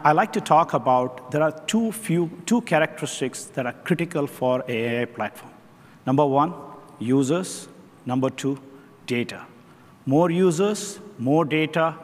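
A middle-aged man, a chief technology officer, presenting on a conference stage in a measured way, posing rhetorical questions. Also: on the high side, expressive. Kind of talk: keynote presentation. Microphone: headset mic. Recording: long reverb of a large hall.

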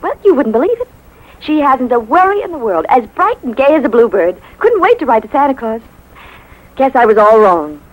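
A woman playing a gentle, wistful young mother in a 1950s radio drama, speaking sincerely. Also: sits high, sing-song, normal speed, rough recording. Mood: tender, hopeful. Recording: vintage 1950s radio recording.

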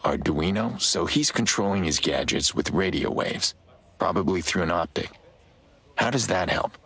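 An actor playing a weary, guarded middle-aged vigilante in a video game, speaking in a terse, understated way. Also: male voice, sits low, animated, normal speed, creaky, fairly bright tone, breathy edge, exaggerated voice. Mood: businesslike. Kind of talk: cinematic game dialogue.

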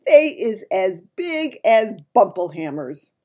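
A woman voicing a gentle, runty male giant from a children's storybook, talking in muddled grammar and made-up words. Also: medium pitch, very animated, takes it slowly, pitched above the performer's own voice. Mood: boastful yet humble. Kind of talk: dramatised children's storybook reading.

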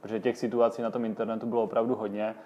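A man's voice: lowish voice, level tone, warm tone, lo-fi sound. A man hosting an interview in a casual way, setting up topics and inviting the guest to explain. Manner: friendly, leading, some fillers. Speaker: host.

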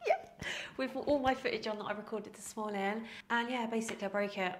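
A woman's voice: a little high, fairly even intonation, a little fast, slight background noise. Woman vlogging to camera, bit nasal from a cold, self-deprecating and chatty, lapel mic in a small room. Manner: chatty, self-mocking, laughs often. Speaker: fitness vlogger. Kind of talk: casual to-camera Q&A. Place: small indoor room with plants.

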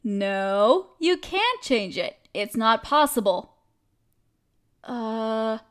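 A woman acts out a protective, caring female best friend with a high voice. She speaks directly and intimately to one listener, as in a comfort roleplay. Her delivery is earnest and reassuring. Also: fairly bright tone, clean tone, full character voice. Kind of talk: audio roleplay, scripted comforting monologue.

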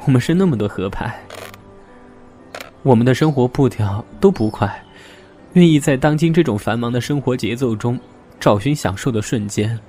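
A man acting a gentle, reflective young man in an audio drama, wistful and hesitant about lost love. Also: medium pitch, close to an everyday voice.

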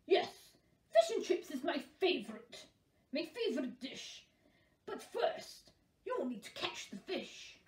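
Woman putting on a fussy, bossy storybook king, drawn-out. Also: sits high, sing-song, a little mellow, reverberant, exaggerated voice. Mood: commanding.

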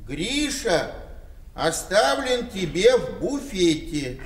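An older man acting a meek, plain-spoken, slightly muddled old village father in a Soviet radio play, with halting delivery. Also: high voice, sing-song, exaggerated voice. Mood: dutiful, literal. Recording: roomy, distant, faintly noisy radio-play recording.

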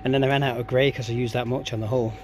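Flat-toned man, noisy outdoor recording. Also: medium pitch, racing. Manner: chatty, informal, rambling. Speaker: DIY vlogger. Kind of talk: casual handheld vlog narration. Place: outdoors in a back garden. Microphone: camera or phone held by the speaker. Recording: outdoor ambient noise, handheld camera.

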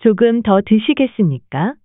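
Woman narrator for a phrase lesson, quick delivery, dry narrow-band sound. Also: on the high side, sing-song, dry recording. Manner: reads each English phrase very slowly, Korean lines quickly. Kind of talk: reading phrases, then Korean translations.

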